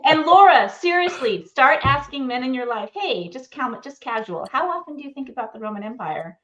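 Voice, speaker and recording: A middle-aged woman with a somewhat high voice chats casually as co-host of a live show. She is expressive and conversational, and her home video-call audio is a little dull and lo-fi. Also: fairly quick. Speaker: co-host of a pop culture show. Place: home room, indoors.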